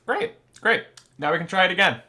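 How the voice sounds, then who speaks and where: A man's voice: sits high, huge pitch swings, brisk, bright, forceful tone, full character voice. An actor playing a fussy radio director who stammers and restarts as he tries to keep the peace. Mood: placating. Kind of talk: audio drama acting.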